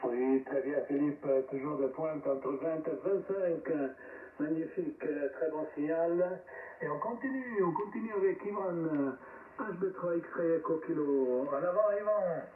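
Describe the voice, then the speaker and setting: A man hosting an amateur radio net as controller, speaking haltingly over a radio transmission. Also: fairly high pitch. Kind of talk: ham radio net roll call. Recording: narrowband shortwave radio, distant, echoey, hissy.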